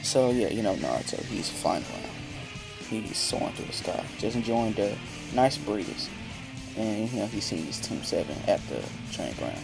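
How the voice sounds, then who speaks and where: A man with a raspy, just-woken-up voice telling a fan story in a casual, rambling way. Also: on the low side, lively intonation, normal speed, rough recording. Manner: rambling, laid-back, lots of fillers. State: just woke up, dry voice. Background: background music.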